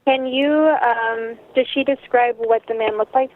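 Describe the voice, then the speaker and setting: A woman working as a store employee, handling a complaint over a tinny phone line in a conciliatory, somewhat halting customer service manner. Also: fairly high pitch, steady tone, a little hiss or hum.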